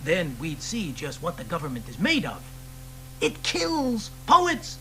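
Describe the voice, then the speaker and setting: Wry protest-era poet, needling, animated pitch. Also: male voice, unhurried, a little hiss or hum, heavily acted. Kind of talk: radio drama acting.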